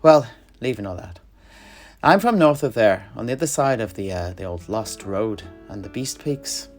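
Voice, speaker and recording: Male actor as chatty druid alchemist, rustic storyteller, very animated intonation, fantasy audio play, guitar music underneath. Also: fairly low pitch, normal speed, natural voice. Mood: wry storytelling. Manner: folksy, rambling storyteller with rustic dialect turns. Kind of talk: in-character fantasy audio roleplay. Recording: music behind the voice.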